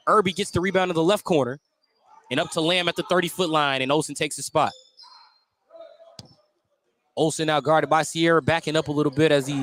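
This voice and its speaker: Male sports play-by-play announcer, voice a bit on the high side, live from a gym, clipped and detailed. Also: fairly bright tone. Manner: detailed, clipped running call of each play. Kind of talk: live radio sports commentary. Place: indoor high school gymnasium.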